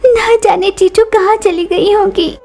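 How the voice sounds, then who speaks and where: A woman's voice: extremely high pitch, steady tone, measured pace, creaky, darkish tone, voice pushed up, exaggerated voice. A woman voicing an indignant, demanding small female bird with a scolding, insistent manner in a cartoon story. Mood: wailing, regretful.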